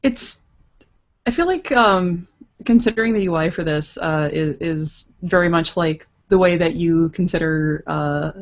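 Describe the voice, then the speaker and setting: A woman's voice: on the low side, unhurried, muffled recording. A woman explaining her work casually as an interview guest, in hesitant, stop-and-start phrasing. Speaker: guest, visual novel creator.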